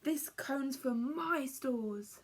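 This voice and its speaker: A woman's voice: high voice, drawn-out words. A woman voicing a greedy, anxious male squirrel who hoards food, hollering in a children's storybook. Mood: possessive, indignant. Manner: hollering, exclamatory.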